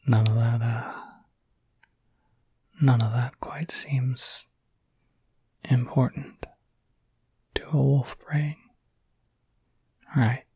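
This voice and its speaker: Slow, breathy whispering gentle werewolf caretaker played by a man. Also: medium pitch, dry recording, heavily acted. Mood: wistful, easygoing. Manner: soothing, halting, unhurried. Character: gentle, reassuring adult male werewolf caretaker, easygoing. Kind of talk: ASMR roleplay, intimate whispering.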